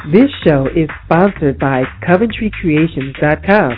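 A woman's voice: low voice, expressive, a little slow. A woman announcer reading a scripted radio show promo. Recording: tinny, band-limited radio intro. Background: music bed.

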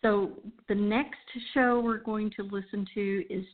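A woman's voice: mid-range voice, fairly even intonation, unhurried. A woman hosting and introducing shows in a casual, chatty style. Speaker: host. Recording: muffled, phone-like narrow sound.

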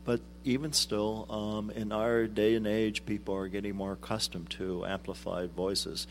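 Deep-voiced man explaining calmly. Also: slight background noise. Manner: measured, explanatory, many ums. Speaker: guest, arts executive director. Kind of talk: radio interview.

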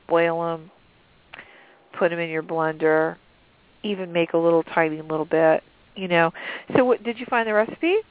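A woman's voice: fairly low pitch, no echo. A sniffly woman co-hosting a cooking show, chatting casually and rambling with lots of fillers. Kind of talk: casual chat. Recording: dry tinny phone line.

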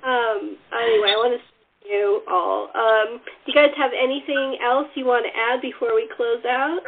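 A high-pitched woman hosts a relaxed chat with her cast. She speaks effusively, leaning on repeated words for emphasis. The sound is thin and tinny, as from a phone call. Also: moderate pace. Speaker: host and playwright. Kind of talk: casual cast interview chat.

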